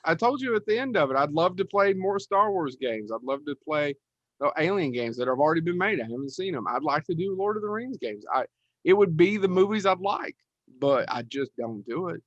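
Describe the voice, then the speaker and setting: A man's voice: a little high, expressive, a little fast, fairly bright tone, no room sound, highs cut off. A middle-aged man co-hosting a podcast in casual, rambling chat with a self-deprecating manner. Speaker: podcast co-host.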